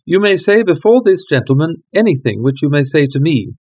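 Cool sardonic detective voiced by a man, dry murmur, somewhat high voice, dull lo-fi audio. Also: big pitch swings, fairly quick, a little mellow, breathy edge. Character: dry, languid male detective, coolly sardonic. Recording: dull lo-fi recording.

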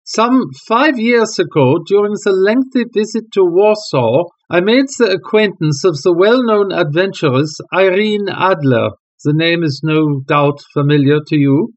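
A man's voice: sits high, expressive, a little slow, few pauses, pitched above the performer's own voice. A man voicing a haughty, agitated European king who speaks in a formal, stately way. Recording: dull lo-fi recording.

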